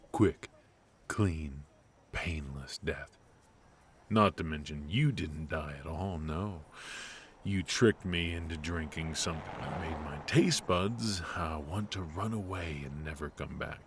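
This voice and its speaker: A man playing a suave, teasing, flirtatious mafia boss in an intimate ASMR roleplay, murmuring asides with hums and pauses. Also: a bass voice, a bit bright, a little hiss or hum, heavily acted. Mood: teasing, mock-aggrieved. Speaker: voice actor in an audio roleplay. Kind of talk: ASMR roleplay acting, intimate one-sided conversation.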